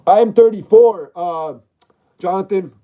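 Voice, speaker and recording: A man in his thirties hosting a casual livestream, reading out viewer comments and chatting in a relaxed, repetitive way with slang. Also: high-pitched, slow, dull audio. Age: 30s. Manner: chatty and repetitive, uses slang like bro and dog. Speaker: livestream host.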